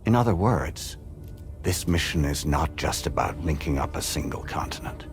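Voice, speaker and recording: An actor playing a stately, courteous corporate president in a game, with a formal, deliberate, authoritative delivery. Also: male voice, a bass voice, lively intonation, over music. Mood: courteous, assured. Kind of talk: scripted game cutscene speech.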